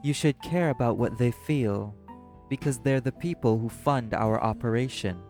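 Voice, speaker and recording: A man playing an apathetic, guarded socialite in a crime syndicate, speaking in a measured, deliberate way in an audio drama. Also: mid-range voice, a little mellow, soft background music, close to an everyday voice. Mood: tense, probing. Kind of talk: dramatic audio roleplay acting.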